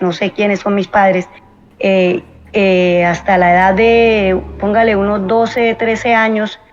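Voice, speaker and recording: A woman's voice: medium pitch, highs cut off. A woman in her forties from Venezuela, speaking as an interview guest in a hesitant, thoughtful way with many fillers. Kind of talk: personal interview.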